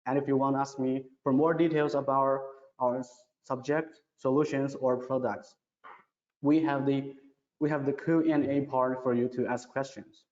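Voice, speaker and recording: A man presenting a scripted product webinar in a careful, halting way, now and then repeating words. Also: medium pitch, steady tone, a little mellow. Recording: dull, echoey, reverberant online webinar audio.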